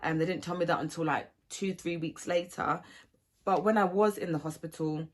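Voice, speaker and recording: Low-voiced young woman from ghana, earnest and steady, lots of ums, telling her birth story. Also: normal speed. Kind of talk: personal testimony.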